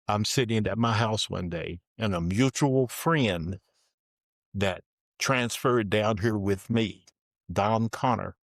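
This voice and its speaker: An older man being interviewed, slowly and plainly telling the story of his working life and dropping his voice for asides. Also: fairly low pitch, no room sound. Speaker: interviewee recounting his career. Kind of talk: slow, deliberate personal storytelling.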